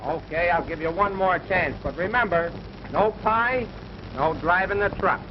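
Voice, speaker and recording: An actor playing a flippant, gag-cracking joker in a 1930s film comedy. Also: male voice, high voice, normal speed, noisy background, natural voice. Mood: bossy. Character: wisecracking small-town joker and would-be radio announcer. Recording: dull 1930s film soundtrack, music behind.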